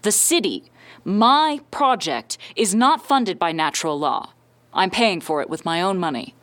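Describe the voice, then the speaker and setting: A woman playing a powerful middle-aged scientist and founder in an audio drama, giving a measured, firm, guarded interview. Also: fairly low pitch, lively intonation, a bit bright. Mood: composed, assertive.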